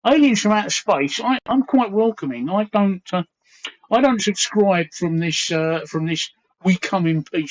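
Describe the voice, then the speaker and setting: A man in his sixties with a London Cockney accent, hosting a casual livestream chat, earnest and rambling, repeating phrases as he thinks. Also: a little high. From East London, England. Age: 60s. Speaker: livestream host. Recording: dull lo-fi stream audio.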